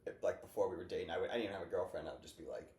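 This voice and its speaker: A man's voice: deep voice, very fast, darkish tone. A young man in his twenties hosting a casual podcast chat, rambling and upbeat, full of fillers. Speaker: podcast host. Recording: echoey room with faint noise.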